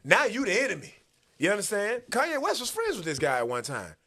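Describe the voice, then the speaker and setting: A man hosts a religious commentary show from a small studio, speaking into a boom mic. He teaches in a preacherly style, his voice a little high and lively, and he keeps calling for scripture to be read. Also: brisk. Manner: animated, preacherly, calls for verses. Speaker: host and Bible teacher. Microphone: boom-arm studio mic.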